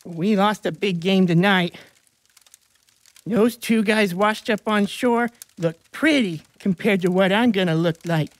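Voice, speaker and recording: A woman's voice: medium pitch, a little slow, dry recording, close to an everyday voice. A woman voicing a scrawny, self-pitying teenage boy in a dramatic audiobook. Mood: bitter, resigned. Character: scrawny, pleading teenage boy football benchwarmer. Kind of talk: audiobook character acting.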